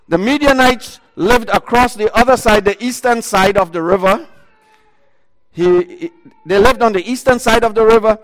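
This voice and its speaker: A man with a high-set voice preaching loudly into a microphone to a congregation. He retells scripture in bursts, stopping and restarting and filling gaps with "uh" and "um". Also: normal speed, in a large hall, poor audio. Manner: loud voice, talks in bursts with many fillers and restarts. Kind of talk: sermon retelling a Bible story.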